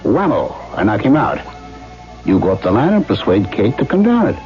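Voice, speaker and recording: Cocky streetwise schemer, deep creaky voice, muffled old radio drama sound. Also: male voice, huge pitch swings, a little fast, faint music behind. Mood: gleeful, scheming. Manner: wisecracking, streetwise. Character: tough, slangy, cocky male carny schemer. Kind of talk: 1970s radio drama acting.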